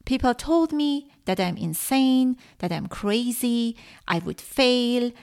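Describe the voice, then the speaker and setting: A middle-aged woman, a native Farsi speaker from Iran, hosting her own podcast and telling her story earnestly with frequent pauses. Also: fairly high pitch, expressive, a little mellow, clear, resonant tone. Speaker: podcast host. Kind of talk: solo podcast monologue.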